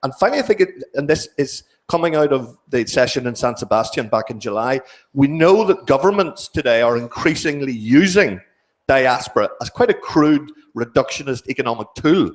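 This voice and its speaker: A man giving measured, reflective commentary at a panel discussion in a wood-panelled conference hall, speaking into a table microphone, sometimes restarting clipped words. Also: medium pitch, lively intonation.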